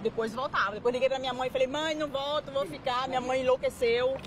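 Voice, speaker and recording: A woman's voice: sits high, steady tone, quick pace, background noise. A woman of thirty with a Bahian Brazilian accent, telling her story in a rambling, talkative way. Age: 30s. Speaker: interviewed guest. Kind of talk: excited personal storytelling. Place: open-top tour bus in city streets. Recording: loud music behind, outdoor bus.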